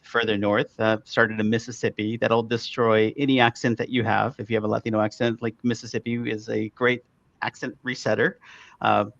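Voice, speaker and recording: A middle-aged man from Chile, a marketing expert, telling his story in a relaxed way with fillers. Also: lowish voice, gravelly, no echo, muffled recording. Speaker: guest, digital marketing expert. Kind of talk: casual interview storytelling.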